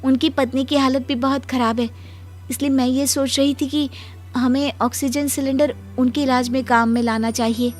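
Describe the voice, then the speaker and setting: A woman's voice: high voice, fairly even intonation, moderate pace, darkish tone, soft background music, natural voice. A woman playing an anxious, respectful nurse in a cartoon moral story, pleading and deferential. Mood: worried, hesitant. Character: anxious, respectful female night-shift nurse.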